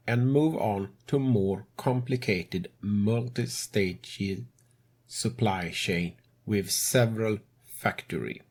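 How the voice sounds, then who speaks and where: A man speaking English as a second language, narrating a game tutorial as host in a measured way with non-native grammar. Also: lowish voice, darkish tone, husky. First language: not English.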